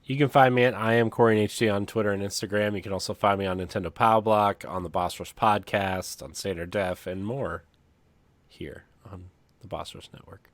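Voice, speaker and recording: Male co-host, fairly low voice, laid-back teasing delivery, dry studio-close sound. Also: a little fast, no echo. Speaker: podcast co-host. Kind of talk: casual comedy podcast banter.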